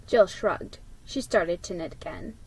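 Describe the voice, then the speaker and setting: A woman reading aloud as an amateur storybook narrator, in a measured way with the odd stumble. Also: fairly low pitch, a little mellow, breathy edge, low-quality recording. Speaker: amateur book reader. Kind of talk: casual introduction and storybook narration.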